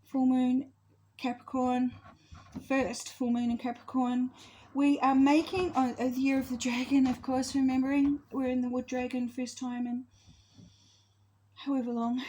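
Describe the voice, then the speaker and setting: High-voiced woman in her fifties, rambling. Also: warm tone, slight background noise, low-quality recording. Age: 50s. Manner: rambling, loses her thread and corrects herself. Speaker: astrology vlogger. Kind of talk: casual spoken monologue to camera.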